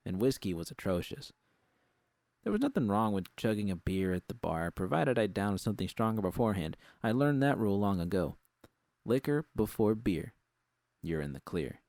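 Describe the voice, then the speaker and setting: A man narrates a first-person story in a very low voice with heavy vocal fry. The sound is close and dry, with no room around it. He reads as a scripted audiobook narrator. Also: a little mellow, no echo.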